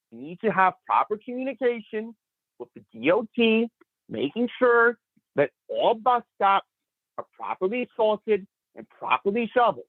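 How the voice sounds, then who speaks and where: A man giving a prepared public comment at a board meeting, brisk and urgent, his voice climbing as he presses his points. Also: sits high, no echo. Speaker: public commenter for a rider group. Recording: tinny, phone-like dry sound.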